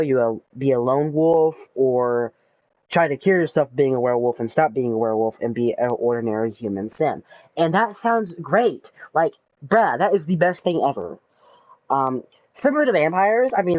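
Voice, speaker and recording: A man chatting casually about a video game as a YouTuber, rambling and excitable with lots of ums and uhs and some swearing. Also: medium pitch, no room sound, low-quality recording. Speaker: gaming YouTuber.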